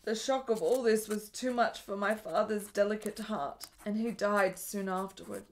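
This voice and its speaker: A woman's voice: mid-range voice, normal speed, lightly acted, poor audio. An actress playing a frail, lovesick, melancholy woman in a period radio drama, speaking in earnest, melodramatic, formal phrases. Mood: sorrowful, confessional.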